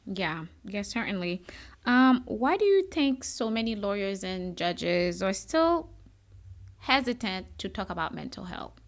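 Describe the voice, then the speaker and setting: A woman's voice: mid-range voice, unhurried. A woman from Haiti hosting a podcast, talking candidly in a chatty, bubbly, conversational way. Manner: animated, chatty, self-described bubbly. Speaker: podcast host.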